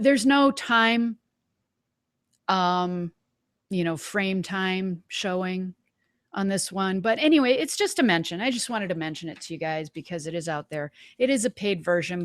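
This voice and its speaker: A woman hosting a webinar, giving a casual live software demonstration in an informal, folksy way, thinking aloud. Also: mid-range voice, no room sound. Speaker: webinar host.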